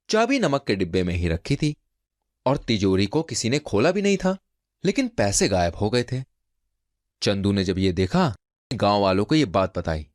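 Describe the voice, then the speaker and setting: A man's voice: lowish voice, no room sound, clean studio sound. A man narrating a scripted children's folk tale as a storyteller.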